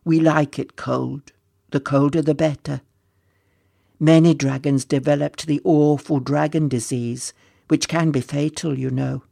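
A storybook reader voicing a huge, sorrowful dragon who speaks in a rumbling, mournful way and is kindly at heart. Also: steady tone, a little slow, gravelly, warm tone, no echo, studio quality. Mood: mournful, weary.

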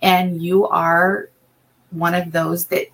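Slow, gravelly-voiced chatty woman co-host. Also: lowish voice, little pitch movement, fairly bright tone. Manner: chatty, rambling, with many restarts and ums. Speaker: co-host and assistant. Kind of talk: casual podcast chat.

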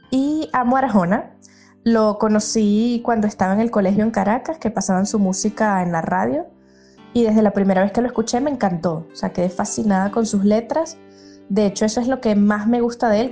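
A woman in her thirties talks in a warm, gushing way, pausing on fillers as she records a fan message. Her voice is mellow and dark. Soft music plays faintly under her. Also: mid-range voice. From Venezuela. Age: 30s. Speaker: listener sending a voice message. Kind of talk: recorded testimonial.

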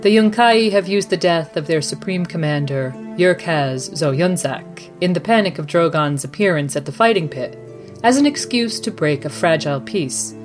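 A woman hosting as a news anchor, reading a scripted bulletin in a measured, formal newsreader delivery. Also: on the low side, animated, faint music behind. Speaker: podcast host as news anchor. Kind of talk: scripted mock news broadcast.

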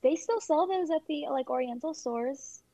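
A woman's voice: high voice, normal speed, warm tone, dry recording. A young woman chatting casually on a livestream, talkative, with plenty of fillers. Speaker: stream co-host. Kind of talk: casual chat with friends on a livestream. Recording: dull, dry sound.

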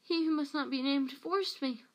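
A woman narrator acts out a cowering traitor of a man in a storybook reading. The voice is pitched high, whining and gasping. He wheedles and stammers, tearful and terrified. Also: expressive, a little slow. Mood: tearful, frightened.

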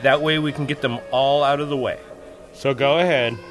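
A man's voice: mid-range voice, fairly even intonation, moderate pace, a bit bright, natural voice. A man playing a dry, pedantic know-it-all in a podcast audio drama, matter-of-fact and correcting. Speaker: podcast cast member.

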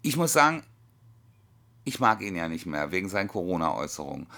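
Man, forties, podcast co-host, animated, low voice. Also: brisk, creaky. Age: 40s. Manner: chatty, joking, animated storytelling. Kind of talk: casual chat.

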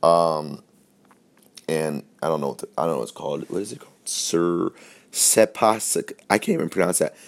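A man's voice: low-pitched, normal speed, vocal fry. A young man hosting his own podcast, talking casually and rambling with a scratchy voice from a sore throat, loose and profane, full of fillers and restarts. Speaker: podcast host. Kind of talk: casual solo podcast chat.

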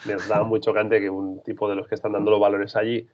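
A man's voice: on the low side, little pitch movement, quick pace, darkish tone, slight background noise. A man who works as a freelance basketball reporter, answering interview questions in a thoughtful, rambling way with frequent restarts. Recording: dull lo-fi sound.

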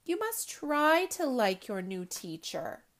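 A woman's voice: on the high side, wildly swooping pitch, slow, fairly bright tone, full character voice. A woman voicing a caring mother in a storybook reading, reassuring and firm. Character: caring mother of a little girl, firm but warm.

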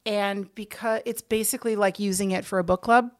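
A woman's voice: mid-range voice, steady tone, a little fast. An enthusiastic, emphatic woman chatting casually as an interview guest, explaining the club she organizes.

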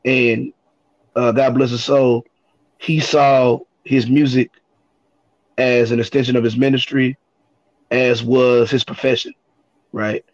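A man's voice: medium pitch, little pitch movement, takes it slowly, long pauses, no echo. A man speaking as a guest on a podcast, hesitant and full of ums and repeated words. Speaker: guest hip hop artist. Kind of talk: casual podcast conversation. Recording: dull, dry lo-fi audio.

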